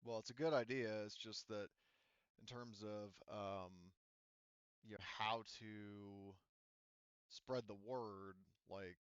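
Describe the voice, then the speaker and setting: A man running an online tabletop roleplaying game as game master, explaining in a halting, circling way. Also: fairly low pitch, fairly even intonation, clear, resonant tone, no echo, highs cut off. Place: remote online voice call.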